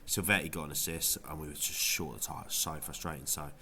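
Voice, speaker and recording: A man's voice: extremely low pitch, steady tone, fairly quick, bright, forceful tone. A man with a cold and a nasal voice, casually reviewing his fantasy football week in a vlog. He rambles a little, with lots of ums and restarts. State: has a cold, sounds nasal. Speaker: fantasy football video creator.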